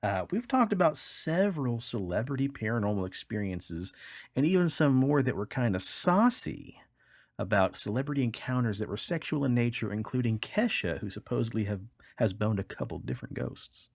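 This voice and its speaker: A man's voice: mid-range voice, very animated, moderate pace, dry recording, clean studio sound. A man co-hosting a podcast, reading odd news stories aloud with casual, wry, joking commentary. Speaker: podcast co-host.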